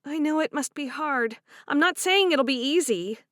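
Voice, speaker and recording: A woman voicing a kind young woman who gently reassures a friend. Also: high voice, lively intonation, normal speed, no room sound, clean studio sound, voice pushed up. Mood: reassuring.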